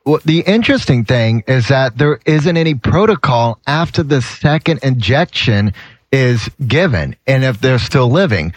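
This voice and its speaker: Male crew member, halting, filler-heavy delivery, dry close sound. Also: mid-range voice, very animated, no echo.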